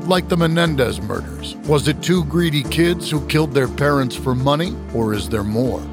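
A man's voice: on the high side, expressive, moderate pace. A man reading a scripted true-crime podcast promo as narrator. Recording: music bed under the voice.

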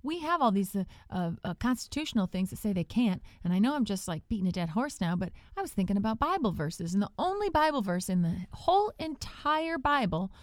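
Earnest church podcast hostess, played by a woman: gushing, folksy, quick to indignation, lively pitch, close dry sound. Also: no room sound, lightly acted. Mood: indignant, emphatic. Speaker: podcast co-host. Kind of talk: improvised satirical church podcast banter.

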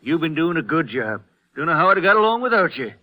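An actor playing an aging small-town store owner, folksy and stubborn, in an old-time western radio drama. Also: male voice, a little high, sing-song, fast talker, bright, forceful tone. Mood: friendly, weary. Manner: folksy, hesitant country speech.

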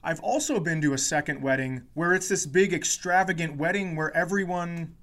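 A man's voice: on the high side, moderate pace, fairly bright tone. A man who hosts a podcast as a comedian, telling stories casually with swearing, mock indignation and quoted dialogue.

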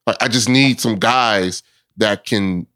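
A man's voice: lowish voice, slow, a bit bright, no room sound, clean studio sound. A man speaking as a podcast guest in casual sports talk, laid-back and slangy, often laughing between phrases.

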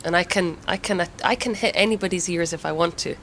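A woman's voice: fairly low pitch, a little fast, fairly bright tone, slight background noise. Candid laughing woman, swears freely. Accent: Scottish. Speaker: band singer, interview guest. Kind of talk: casual interview banter.

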